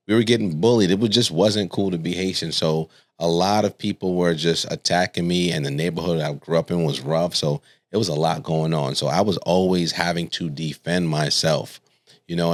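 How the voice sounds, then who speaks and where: A man from Connecticut speaks as a podcast guest in a very low voice. The sound is close and dry. He tells personal stories in a casual, colloquial way, often checking in with his listener. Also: no echo. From Stamford, Connecticut, United States. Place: studio.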